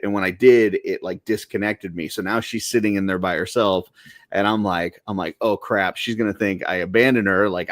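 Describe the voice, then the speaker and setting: Chill guy with a really deep soothing voice, says like a lot, dry close mic. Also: dry recording. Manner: storytelling, laid-back, heavy use of "like". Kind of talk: casual podcast chat.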